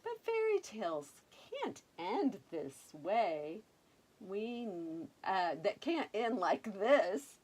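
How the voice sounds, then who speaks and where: An older woman reading a children's picture book aloud, expressive and playful, with dramatic emphasis and chatty asides. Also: medium pitch, takes it slowly.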